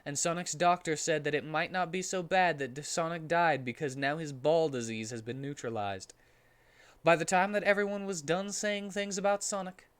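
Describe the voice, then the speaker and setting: A man's voice: on the high side, a bit bright, clear, resonant tone. A man reading a silly story aloud in a theatrical, mock-serious comic style.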